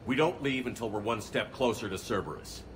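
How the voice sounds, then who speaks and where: An actor playing a blunt, hard-edged commander in a video game. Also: male voice, on the low side, a little fast, background noise, lightly acted. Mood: determined.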